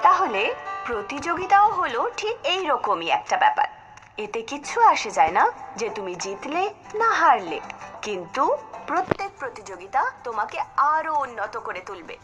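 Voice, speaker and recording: A woman's voice: fairly high pitch, moderate pace. A woman voicing a patient, encouraging mother who reassures her children and tells them a story. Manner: gentle and reassuring, lively storybook telling. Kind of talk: cartoon dubbing and storybook narration. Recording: background music under the voice.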